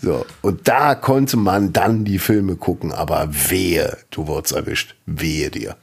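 Guy in his 40s, kinda husky and low, chatty podcast co-host telling stories. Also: a little slow. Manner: laughs a lot, lively storytelling. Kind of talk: casual chat.

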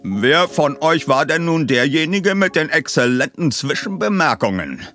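An eccentric professor as a man reads him out in an audiobook. He is pompous and bossy, stressing his words with big swings in pitch. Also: animated, normal speed, fairly bright tone, faint music behind. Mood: pleased, inquisitive. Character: eccentric, excitable, commanding male professor. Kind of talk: audiobook character reading.

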